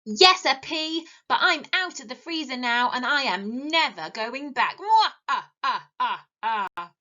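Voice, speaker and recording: A woman's voice: high voice, expressive, a little slow, pushed and bright, dull audio, exaggerated voice. A woman voicing a small, sneaky evil pea villain in a storybook reading, cackling and gloating.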